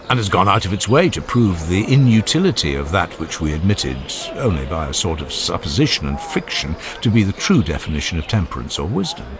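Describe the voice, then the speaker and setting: A man narrating a dramatized classical dialogue in a formal, measured and expressive oratorical manner. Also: on the low side. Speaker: audiobook narrator. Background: ambient sound effects.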